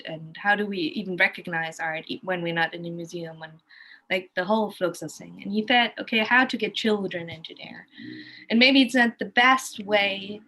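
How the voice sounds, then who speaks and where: A woman's voice: mid-range voice, fairly bright tone. A woman from Germany with a German accent, telling her story casually, thinking aloud and often rephrasing as she goes.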